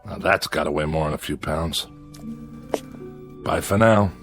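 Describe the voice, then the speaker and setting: Low-voiced, lonely exiled convict. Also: male voice, unhurried, a bit bright, faint music behind. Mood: weary, resigned. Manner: folksy, rambling, resigned. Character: lonely weary exiled convict keeping a log. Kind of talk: radio drama acting, spoken diary.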